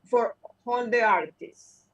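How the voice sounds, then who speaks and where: A middle-aged woman with a Spanish accent, Spanish being her first language, calling in from her car and speaking haltingly as she searches for words. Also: on the high side, fairly bright tone, highs cut off. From Spanish-speaking country. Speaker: caller. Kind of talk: live call-in question. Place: in a car while driving. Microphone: phone on a video call. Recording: echoey, distant call audio.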